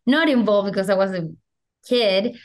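A woman's voice: mid-range voice, normal speed. A young woman from Venezuela with a Venezuelan Spanish accent, chatting animatedly as a podcast guest over a video call, full of "like" fillers. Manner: animated, gestures a lot, many "like" fillers. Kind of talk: casual interview chat. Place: small room at home. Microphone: video call webcam.

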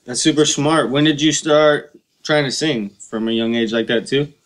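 Man, medium pitch, podcast co-host, casual chat, brief reactions. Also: moderate pace.

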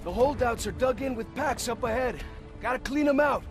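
A battle-worn tank soldier in a World War Two video game. The voice is very high for a man, forceful and plain-spoken. Music and vehicle noise are clearly heard behind it. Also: normal speed. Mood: determined. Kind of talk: cinematic war game acting.

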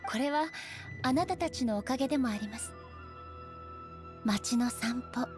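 A woman playing a solemn female ruler deity in a Japanese anime game, speaking in a composed, formal, measured manner. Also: a little high, music in the background, lightly acted.

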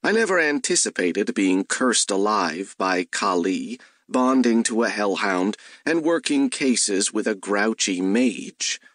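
A man narrating a first-person story as an audiobook, in a measured, wry way. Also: on the low side, expressive, fairly bright tone, dry recording, clean studio sound.

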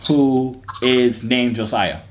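Guy in his 20s on a phone video chat, flirty and joking around, normal pitch, bit of background hiss. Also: slow pace. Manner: teasing, joking, playfully flirtatious. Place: small indoor room. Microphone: phone front camera at arm's length.

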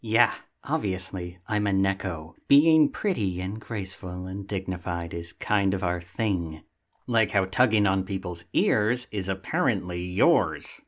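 A sassy young catboy played by a man with a fairly low voice. He is lazy and vain, talking casually and huffily, like a roommate in an ASMR roleplay. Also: animated, a little slow. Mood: huffy, smug. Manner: sassy, casual, theatrically huffy.

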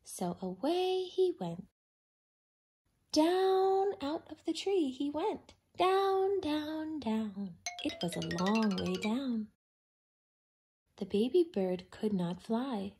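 A woman reading a picture book aloud expressively to young children, in simple, deliberate phrases. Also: high voice, sing-song, mellow, soft background music. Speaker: children's storytime reader.

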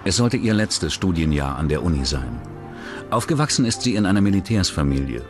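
Deep voiced guy narrating a true crime doc, music going behind him. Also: sing-song, brisk. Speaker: documentary narrator.

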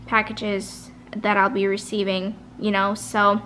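Woman vlogger, chatty rambler, tired and soft-voiced, faint hum behind. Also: mid-range voice, monotone, a little slow. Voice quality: soft, a little raspy. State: tired, late at night. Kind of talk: casual chat. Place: kitchen at home. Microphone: camera at a kitchen table.

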